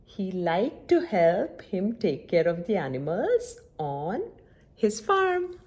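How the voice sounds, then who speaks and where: A woman's voice: mid-range voice, very animated, takes it slowly, darkish tone. A woman reads a children's picture book with lots of rise and fall in her voice. She takes her time, steps out of the story to explain words like a teacher, and checks that young listeners follow. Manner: teacherly, checks understanding with simple questions and tags.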